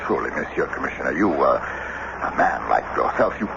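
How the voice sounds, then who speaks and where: Anxious formal husband in old radio play, very low voice that swings up and down, theatrical. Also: male voice, sing-song, fairly quick, creaky, heavily acted. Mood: flustered, insistent. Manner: theatrical, hesitant, exclamatory. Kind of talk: radio drama acting. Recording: noisy muffled old radio broadcast.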